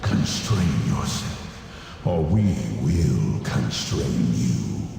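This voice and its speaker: An actor playing a menacing, ancient otherworldly prince in a video game, stern and imperious. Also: male voice, rumbling low, very animated, creaky, dark tone, full character voice. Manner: slow, imperious. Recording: cavernous reverberant hall, distant.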